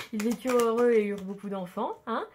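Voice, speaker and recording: A woman's voice: on the high side, expressive, moderate pace, background noise. A woman giving a casual tarot card reading, chatty and hesitant with many filler sounds, her nose stuffed up from a sudden cold.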